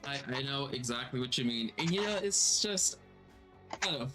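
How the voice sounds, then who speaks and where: A man hosting a casual stream, his voice processed to sound a little lower and fuller, chatting in a self-deprecating way with many fillers. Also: animated, moderate pace, bright, forceful tone, soft background music. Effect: voice processed slightly lower and more resonant. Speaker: streamer and game master. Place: home streaming room.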